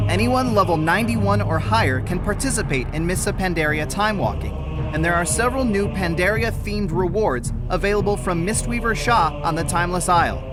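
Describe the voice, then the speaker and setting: A man reading a scripted promotional narration in a measured, polished announcer manner. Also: high voice. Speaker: narrator of a game patch video. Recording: narration over loud music.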